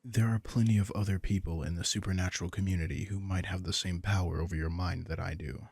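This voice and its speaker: A possessive, protective vampire king, played by a man in an intimate audio drama. His male voice is low and full of gravelly fry, recorded very dry. He talks straight to the listener, teasing and condescending, as if amused by someone naive in his care. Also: fairly even intonation, a little mellow, no room sound, full character voice. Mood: stern, protective. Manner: condescending, amused, slow coaxing asides. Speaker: audio roleplay performer. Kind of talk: intimate scripted roleplay addressed to the listener.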